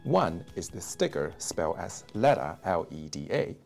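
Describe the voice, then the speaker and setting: A man in his thirties with a Chinese accent, narrating a toy review from his hobby room on a lapel mic, explaining each detail with bright jumps on his opening words. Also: moderate pace, vocal fry. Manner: explanatory, lively voiceover with sudden high emphasis on openers. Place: home hobby room, indoors. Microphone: clip-on lapel mic. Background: soft background music.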